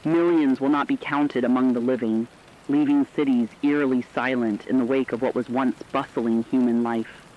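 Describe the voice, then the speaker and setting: A man's voice: medium pitch, fairly even intonation, normal speed. Solemn man on a dull lo-fi broadcast. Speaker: radio newscaster in a horror story. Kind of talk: scripted emergency radio broadcast narration.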